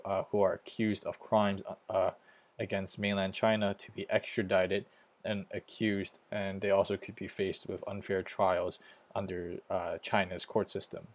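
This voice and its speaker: Young male podcast host, American accent, low flat voice, halting delivery with constant restarts. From Hong Kong. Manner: hesitant, stumbling, frequent restarts. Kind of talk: casual opinion rant.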